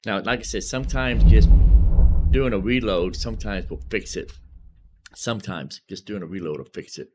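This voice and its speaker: A man's voice: lowish voice, normal speed, background noise. A man live streaming a video game, commentating casually as he thinks aloud and repeats himself.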